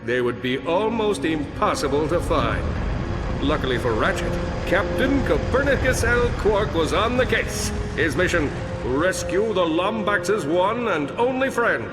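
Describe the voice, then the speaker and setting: A boastful, dim superhero, bombastic and goofy. His somewhat high voice bounces all over. It is a game cutscene. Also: lively intonation, measured pace, over loud music. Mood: narrating. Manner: bombastic, goofy, panics loudly.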